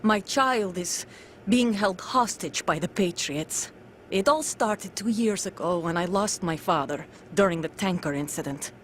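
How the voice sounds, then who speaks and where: Casting: serious female game soldier, lowish voice, controlled and confiding, faint background noise. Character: guarded female undercover soldier, grave mother of a stolen child. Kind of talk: dramatic video game cutscene acting.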